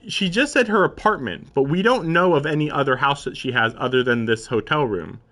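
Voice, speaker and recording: A man's voice: on the high side, lively intonation, a little fast, fairly bright tone. A man live-streaming, reading a story aloud and adding informal, questioning commentary of his own. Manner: informal, questioning asides between read passages. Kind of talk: reading narration aloud with casual, puzzled running commentary.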